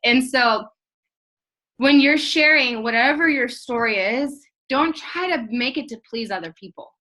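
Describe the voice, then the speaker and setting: A woman's voice: on the high side, a little slow, bright, forceful tone. A woman in her thirties giving a casual team training talk, chatty and earnest, full of "like" and restarts, encouraging her listeners. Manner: chatty, filler-heavy, rambling.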